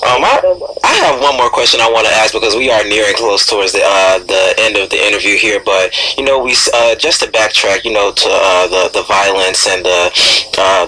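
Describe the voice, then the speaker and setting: Bright, lowish-voiced man hosting radio. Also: a little hiss or hum, low-quality recording. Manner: wordy, halting, searching for words. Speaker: radio show host, interviewer. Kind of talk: conversational radio interview questioning.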